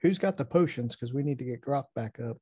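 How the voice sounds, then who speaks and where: Husky dark-voiced guy playing a tabletop game, casual chat, dull dry lo-fi mic. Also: mid-range voice, fairly quick, no room sound.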